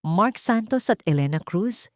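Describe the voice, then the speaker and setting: A formal policeman in an audio drama, acted by a woman. He speaks firmly and officially, with lively intonation, recorded dry. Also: medium pitch, measured pace, dry recording, natural voice. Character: formal, firm policeman making an arrest.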